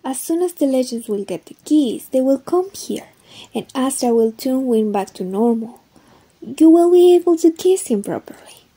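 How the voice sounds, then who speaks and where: A woman playing a cheerful, caring young woman in an audio drama, with a soft, husky voice. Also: high-pitched, lively intonation, normal speed, full character voice. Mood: upbeat, reassuring. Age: young adult.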